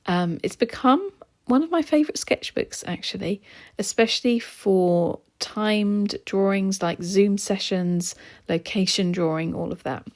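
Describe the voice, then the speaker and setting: A woman narrating her art vlog in a relaxed, chatty way, her voice slightly hoarse as she loses it. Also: mid-range voice, unhurried. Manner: chatty, relaxed, with soft laughs and ums. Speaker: art vlogger. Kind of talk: casual voice-over narration.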